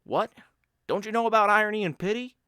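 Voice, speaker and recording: Guy putting on a lazy wisecracking buddy, higher and way louder than his reading voice, bossy mock lectures. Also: fast talker, clear, resonant tone, no echo, studio quality. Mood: mock lecturing. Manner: loud, mock-lecturing, bantering. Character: lazy, joking man who teases his friend.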